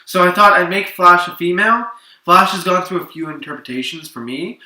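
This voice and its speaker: A man's voice: high voice, fairly quick, pushed and bright. A man chatting casually and eagerly as co-host of a comics show, in informal, opinionated fan talk. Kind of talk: casual unscripted chat. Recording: roomy echo, distant from the mic.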